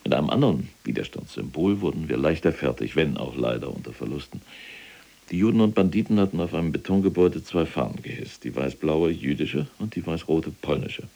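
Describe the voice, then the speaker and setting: Pompous, deep, husky German general. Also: male voice, lively intonation, brisk, warm tone, exaggerated voice. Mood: grave, reporting. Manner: pompous, lecturing, indignant when contradicted. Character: imprisoned SS general, fanatical, vain, self-justifying older man. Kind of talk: German audio drama acting, monologue and argument.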